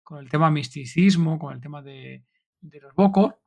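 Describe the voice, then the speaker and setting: A man's voice: fairly high pitch, moderate pace, gravelly. A man with an accent from Spain hosting a casual interview, asking rambling questions full of tag questions. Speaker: podcast host.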